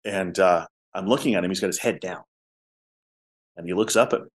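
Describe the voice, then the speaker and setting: A man with a low voice, a musician telling stories in a casual interview. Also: a little fast. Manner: talkative storyteller, drops to soft tones. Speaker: musician guest.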